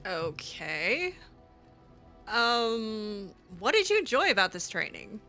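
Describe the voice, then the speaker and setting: A woman improvising in-character chat over a video game, casual and halting, with a teasing streak. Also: medium pitch, big pitch swings, bright, forceful tone. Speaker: gaming channel host, roleplayer. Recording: music behind the voice. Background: game music.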